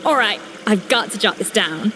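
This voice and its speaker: An actress playing an inquisitive, methodical chef who speaks in a measured way. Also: female voice, mid-range voice, lively intonation, bright, forceful tone, slight background noise. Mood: curious. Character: curious, methodical woman chef.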